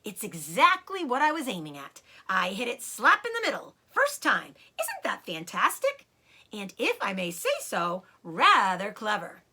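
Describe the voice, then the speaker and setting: Storybook father fox acted by a woman, proud and excitable, swinging pitch, husky edge, commanding, loving. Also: unhurried, heavily acted. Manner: exclamatory and commanding, dropping to cautious hushes.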